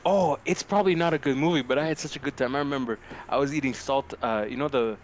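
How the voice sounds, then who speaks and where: A young man co-hosting a podcast in a casual, animated, rambling and sweary chat about films. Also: medium pitch, fast talker. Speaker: podcast co-host. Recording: clearly noisy amateur podcast audio.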